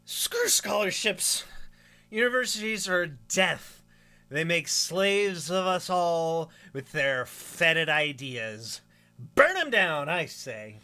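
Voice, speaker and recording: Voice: medium pitch, sing-song, slow, bright, forceful tone, exaggerated voice. A performer playing a drunk young male college student who rambles in grandiose, slurring rants, in a radio play reading. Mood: drunk rambling.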